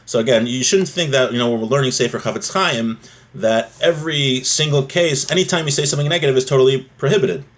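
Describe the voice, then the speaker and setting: A man's voice: mid-range voice, fast. A man giving an informal religious lesson, explaining a text in a conversational way and often checking in with the listener. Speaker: religious teacher.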